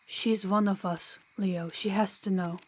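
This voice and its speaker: A woman's voice: mid-range voice, fairly even intonation, measured pace, natural voice, low-quality recording. Female vampire guide voiced by a woman, mid-range voice, chatty, kind and teasing, for an audio roleplay. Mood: earnest, reassuring. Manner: chatty, reassuring, playful.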